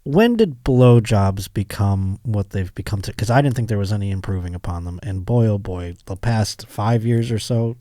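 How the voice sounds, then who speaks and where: A man's voice: lowish voice, moderate pace, hardly any pauses, mellow, no echo. Casual man hosting a comedy chat, dry sound. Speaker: podcast host and comedian.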